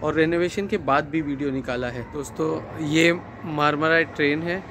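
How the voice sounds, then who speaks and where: A man in his thirties giving a casual walkthrough of an apartment, talking slowly and hesitantly with many fillers, filmed on a handheld phone. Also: fairly high pitch, fairly even intonation, background noise. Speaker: property tour guide. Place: terrace and empty apartment rooms outdoors and indoors. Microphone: phone camera held at arm's length.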